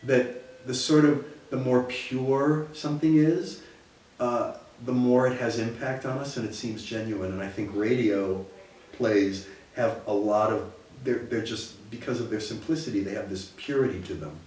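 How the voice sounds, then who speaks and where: Thoughtful guy in an interview, kinda low voice, lots of echo, sounds far off. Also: a little slow, darkish tone. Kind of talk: reflective documentary interview talk. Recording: very echoey, distant from mic.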